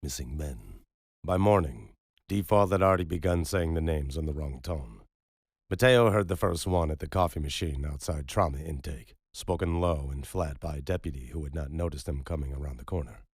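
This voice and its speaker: A man narrating a story in a measured, unhurried storytelling manner. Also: a bass voice, breathy edge, dry recording, studio quality. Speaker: audiobook narrator. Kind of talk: scripted narration.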